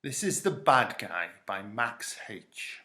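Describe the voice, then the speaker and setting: A man's voice: lowish voice, very animated, takes it slowly, bright, forceful tone. A man reading a children's story aloud in a dramatic storytelling style. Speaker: story reader.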